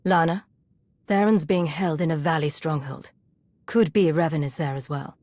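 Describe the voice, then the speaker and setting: A woman's voice: low voice, moderate pace, a little mellow, no room sound. A woman voicing a composed imperial spy in a video game, controlled and probing. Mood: calm. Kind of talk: video game character acting.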